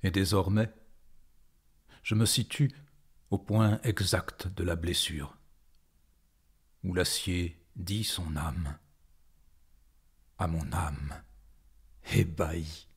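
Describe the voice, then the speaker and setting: A man reciting poetry in a dramatic style, phrasing deliberately with frequent pauses and a husky voice. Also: deep voice. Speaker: poetry performer.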